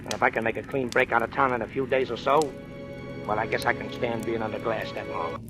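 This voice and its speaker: An actor playing a tough, suspicious escaped convict in an old radio crime drama, with blunt gangster slang. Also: male voice, mid-range voice, steady tone, fast talker, music in the background, close to an everyday voice. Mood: grudging, calculating.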